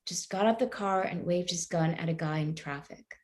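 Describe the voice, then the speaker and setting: A woman's voice: on the low side, normal speed, lightly acted. A woman playing a morally troubled woman who questions a cop earnestly and persistently in a live play reading. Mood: probing.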